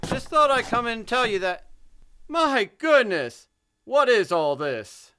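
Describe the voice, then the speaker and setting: Man doing an animated, blustery radio comedy neighbor. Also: very high-pitched, a little slow, smooth, exaggerated voice. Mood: breezy. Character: jovial, pestering male neighbor, boastful and dim. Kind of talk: old-time radio comedy acting.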